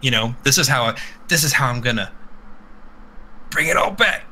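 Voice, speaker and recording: A man's voice: mid-range voice, lively intonation, normal speed, sharp tone, a little hiss or hum, low-quality recording. A man chatting casually as a podcast host, blunt and profane, rambling through his opinions. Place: live multistreamed podcast.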